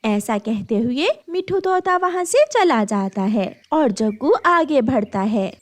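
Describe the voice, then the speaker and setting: A woman's voice: a little high, expressive, takes it slowly. A woman narrating a scripted cartoon story as a storyteller.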